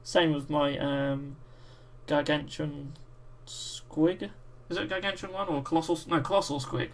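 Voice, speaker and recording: A British man with a croaky voice hosting a livestream, chatting casually and reading out viewer messages while painting miniatures. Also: on the high side, unhurried. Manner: relaxed, reads out chat messages. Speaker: livestream host.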